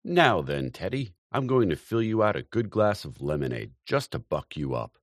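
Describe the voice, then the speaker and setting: A jovial older man with a sly, teasing way of talking, played by a man. His voice is low and rolling, and it moves freely up and down. The sound is close and dry, from a storybook reading. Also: big pitch swings, moderate pace, no room sound, exaggerated voice. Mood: coaxing. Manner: joking, sly mimicry.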